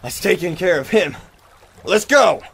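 Man doing a high-pitched, brash gangster henchman. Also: expressive, measured pace, creaky, a bit bright, husky, heavily acted. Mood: brisk, obedient. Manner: terse, theatrical. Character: 1930s Chicago gangster henchman, brash and obedient. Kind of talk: radio-play villain acting.

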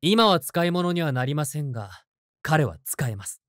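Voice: mid-range voice, animated, normal speed, a bit bright, dry recording, clean studio sound, lightly acted. An actor voicing a confident, terse teenage boy strategist in an anime voice drama, with self-assured delivery.

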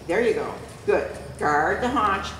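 A woman's voice: mid-range voice, lively intonation, a little slow, fairly bright tone, distant mic. A woman coaching a horse rider live, calling out short commands and often repeating them. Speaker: horse riding instructor. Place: large indoor riding arena. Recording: very echoey, reverberant hall with faint noise.

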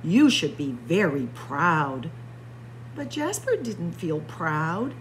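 Librarian, woman, slow and theatrical read-aloud, wide-ranging lowish voice, faint hum behind. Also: low-quality recording. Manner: dramatic, expressive storytelling with spooky whispers. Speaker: children's librarian. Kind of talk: picture book read-aloud. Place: library with bookshelves.

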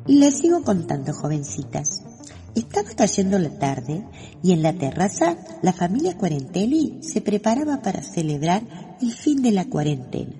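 A woman's voice: fairly low pitch, sing-song, normal speed, mellow, soft background music. A woman playing a neighbor who tells the story in a radio play. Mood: storytelling. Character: female neighbor recounting events as storyteller.

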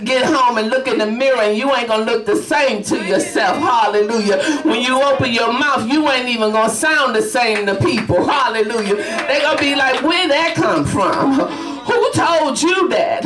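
A woman's voice: a little high, moderate pace, hardly any pauses, music in the background. Fervent woman preacher in a roomy church. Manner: fervent preaching punctuated by hallelujahs. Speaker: evangelist preacher. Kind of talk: sermon. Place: small church sanctuary, at a pulpit. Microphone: podium mic, filmed by a far camera. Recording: roomy church sound from a distance.

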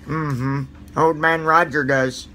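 A man's voice: a little high, steady tone, slow pace, bright, forceful tone, slight background noise. A man playing a cranky, folksy old man who stammers and mutters mild curses at his viewers. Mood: grumbling. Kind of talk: comedic character monologue to viewers.

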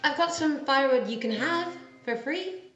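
A woman in her twenties playing a wry, sweet young pantomime heroine with deadpan asides, recorded in an echoey room. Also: sits high, normal speed, dull audio, faint music behind. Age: 20s. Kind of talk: pantomime comedy. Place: brick-walled room, indoors. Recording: echoey room on video call.

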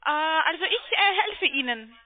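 A woman with a foreign accent speaks in an extremely high voice, halting yet insistent, like a call-centre agent. She comes through a tinny, dry phone line. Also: expressive, no echo. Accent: foreign, non-German. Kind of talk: phone customer-service talk.

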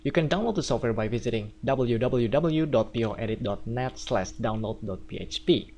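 A man's voice: medium pitch, normal speed, slight background noise. Indonesian-accented man explaining steps. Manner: steady step-by-step explaining. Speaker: theme developer. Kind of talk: screencast tutorial.

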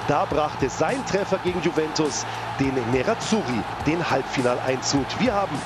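A man reading a scripted sports news voice-over in a lively, emphatic reporting tone. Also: a little high, moderate pace, a loud crowd. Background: background music.

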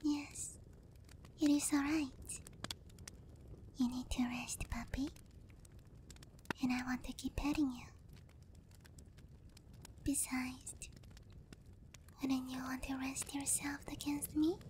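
A woman playing a seductive, doting, gently dominant giant demon queen in a soft, breathy whispered ASMR roleplay, teasing and coaxing, close to the microphone. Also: sits high, little pitch movement, slow pace, pauses often, slight background noise. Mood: tender, coaxing.

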